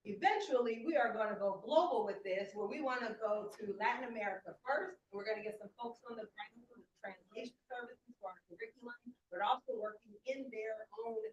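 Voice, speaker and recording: Woman pitching in an echoey hall. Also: a little fast, few pauses. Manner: listing plans in steady phrases with fillers. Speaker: pitch contestant. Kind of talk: prepared pitch presentation. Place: event hall. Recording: roomy, muffled audio from far off.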